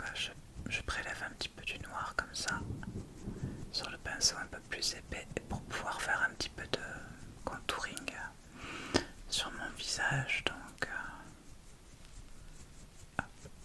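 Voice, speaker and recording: A woman whispering in a breathy voice, chatting casually and a little hesitantly as she gives an ASMR makeup tutorial step by step. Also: unhurried, long pauses. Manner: hesitant, improvised step-by-step commentary. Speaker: ASMR video creator.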